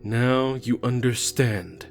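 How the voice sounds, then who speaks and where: A man playing a stern, composed prison warden and mentor in an audio drama, speaking in a measured, formal, instructive way. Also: medium pitch, vocal fry, faint music behind.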